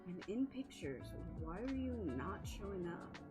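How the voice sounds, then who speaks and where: A woman's voice: fairly low pitch, very animated, measured pace, over music. A woman streaming live with a scratchy voice, chatting casually, apologizing often, swearing and laughing a lot.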